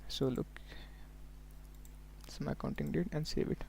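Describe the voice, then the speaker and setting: Man, software trainer, slightly high creaky voice, step-by-step instructional delivery, faint hum and clicks. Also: normal speed. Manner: instructional, often checking in with okay and look here. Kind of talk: live software tutorial, step-by-step walkthrough.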